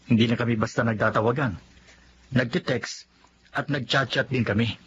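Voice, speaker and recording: A man playing a steady, sensible Filipino friend who reasons and placates, in radio drama style. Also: mid-range voice, normal speed, lightly acted. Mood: calm, sensible. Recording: dull audio with background noise.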